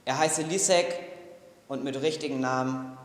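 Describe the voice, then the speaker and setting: A young man narrating a memorial piece in an earnest, measured way. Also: mid-range voice. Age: young adult. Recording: echoey hall recording.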